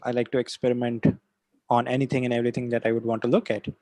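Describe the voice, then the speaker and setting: Steady man on a dry video call. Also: medium pitch, very fast, dry recording. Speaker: design thinking facilitator. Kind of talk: self-introduction in an online workshop.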